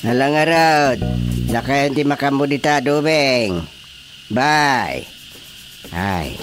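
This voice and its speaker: Animated village schemer. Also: male voice, mid-range voice, takes it slowly, over music. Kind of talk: Ilocano radio drama comedy acting.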